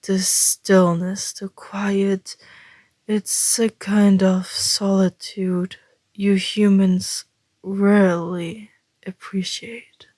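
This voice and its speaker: Ancient vampire for ASMR roleplay , female performer: extremely unhurried, soft mellow tone, breathy whispers, calm and flirtatiously mysterious. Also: mid-range voice, no echo. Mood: musing, flirtatious. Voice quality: breathy, often dropping into whisper. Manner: slow, deliberate, teasing, with long pauses. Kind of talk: ASMR roleplay, intimate scripted monologue.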